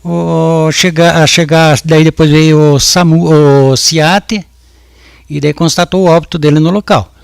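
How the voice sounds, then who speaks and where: A man's voice: fairly high pitch, little pitch movement, a little slow, creaky, clean tone, no echo. A man in his fifties giving testimony as a witness at a jury trial, hesitant and full of restarts and repeated words. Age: 50s. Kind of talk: courtroom testimony.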